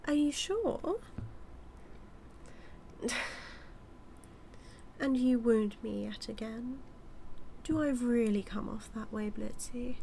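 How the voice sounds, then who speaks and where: Woman acting a slow, teasing British supervillainess. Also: on the high side, animated, creaky, dark tone, a little hiss or hum. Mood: mock-wounded. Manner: theatrical, drawling, taunting, slipping into whispers. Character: smug, teasing, scheming female supervillain toying with her nemesis. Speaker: audio roleplay performer. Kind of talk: scripted villain monologue roleplay, spoken to the listener.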